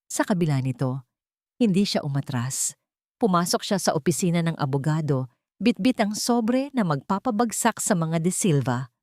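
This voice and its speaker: Low-voiced Filipino woman narrating drama, dry sound. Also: lively intonation, moderate pace, darkish tone, no echo. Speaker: audiobook narrator. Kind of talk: scripted dramatic story narration.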